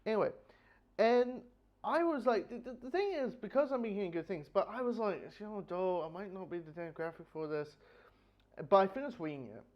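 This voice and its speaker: A man giving a casual, unscripted review, rambling and halting with repeated phrases, blunt and casually sweary. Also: high-pitched, dull audio. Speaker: book reviewer.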